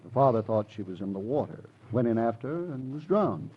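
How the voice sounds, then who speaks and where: An actor playing an urbane, breezy lawyer who talks in a polished, offhand, bluntly casual way in an old radio drama. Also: male voice, medium pitch, big pitch swings, fairly quick, breathy edge. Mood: matter-of-fact. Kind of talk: 1950s radio crime drama acting. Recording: muffled old radio recording with noise.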